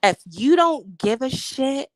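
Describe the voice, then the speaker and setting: A woman's voice: sits high, lively intonation, slow, no room sound, clean studio sound. A married woman defending herself in a heated, profane livestream argument, emphatic and defensive. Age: adult, married. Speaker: YouTube commentator.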